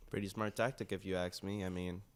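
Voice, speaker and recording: A man's voice: low-pitched, flat delivery, fairly quick. A man co-hosting a casual chat in a laid-back way, with brief, agreeing remarks. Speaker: podcast co-host.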